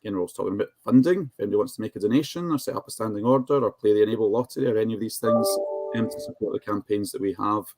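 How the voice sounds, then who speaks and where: Fast-talking man, close dry sound. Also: fairly low pitch, darkish tone, no echo, faint music behind. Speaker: charity representative on a panel. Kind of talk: informal advocacy talk.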